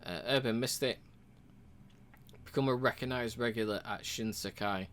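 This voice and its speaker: A man casually walking through a game's achievements, relaxed and rambling, with frequent ums and uhs. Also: mid-range voice, normal speed, slight background noise. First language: English. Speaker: game reviewer.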